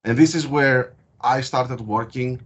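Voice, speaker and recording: A man from Greece with a Greek accent, speaking in an interview as a guest researcher and explaining his work with frequent hesitant pauses. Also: medium pitch, slightly rough. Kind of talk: interview over a call. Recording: dull lo-fi call audio.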